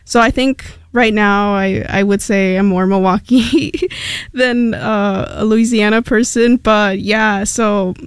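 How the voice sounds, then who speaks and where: A young woman from Mexico, a native Spanish speaker, talks easily in a radio studio as the station's intern. Her voice comes through smooth and clear. The sound is close and dry, and her casual, rambling manner is full of little fillers. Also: medium pitch, fairly even intonation, slow, no echo. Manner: chatty and rambling, many fillers.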